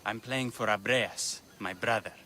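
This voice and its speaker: A man's voice: fairly low pitch, measured pace, lightly acted. An actor playing a grieving, vengeful man in a video game, solemn and bitter. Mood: mournful. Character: grieving, vengeful male musician who lost his brother. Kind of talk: video game dramatic acting. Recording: faint ambient noise.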